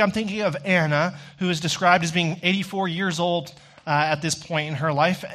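Higher-voiced man preaching conversationally. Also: fairly bright tone. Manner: conversational, addresses listeners directly. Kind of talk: sermon with personal storytelling.

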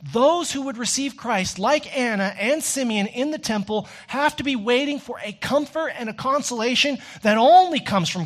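A man preaching a sermon in an earnest, deliberate manner with rhetorical phrasing. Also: very high voice, a bit bright.

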